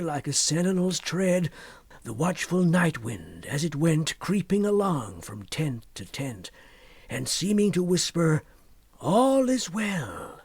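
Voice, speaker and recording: A man with a husky voice reciting a narrative poem theatrically, swelling and dropping toward hushed tones. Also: a little high, dry recording. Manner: theatrical, with swells and hushed drops to near whisper. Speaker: poetry reader.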